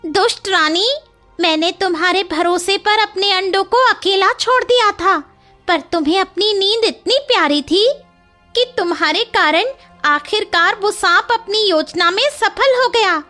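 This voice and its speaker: A woman voicing a small motherly female bird in a cartoon storybook tale, anxious and emphatic, quick to anger. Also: extremely high pitch, pushed and bright, soft background music, pitched above the performer's own voice, exaggerated voice. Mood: furious, accusing.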